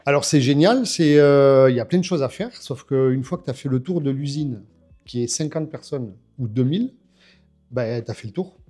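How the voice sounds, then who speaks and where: Give me a man in his fifties with a warm, relaxed tone, hesitating a lot as he tells his story. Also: mid-range voice, normal speed, faint music behind. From France. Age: 50s. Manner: hesitant, many fillers and restarts. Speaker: guest. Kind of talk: casual interview storytelling.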